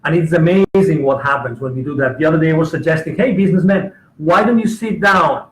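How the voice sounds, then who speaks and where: A man's voice: fairly high pitch, quick pace. Enthusiastic male coach, emphatic and repetitive, echoey room. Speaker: guest coach. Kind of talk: casual conversation.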